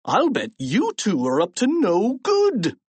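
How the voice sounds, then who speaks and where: A man voicing a gruff, angry middle-aged school principal in an audiobook, blustering and menacing as he shouts. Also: sits high, huge pitch swings, slow, no echo, voice pushed up, heavily acted. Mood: triumphant, suspicious.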